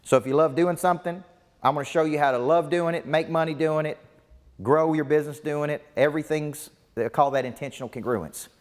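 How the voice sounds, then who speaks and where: A man's voice: a little high, a little fast, in a large hall. A man in his forties giving a motivational business coaching seminar to a live audience, emphatic and punchy with lots of repetition. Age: 40s. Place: large seminar room before a live audience.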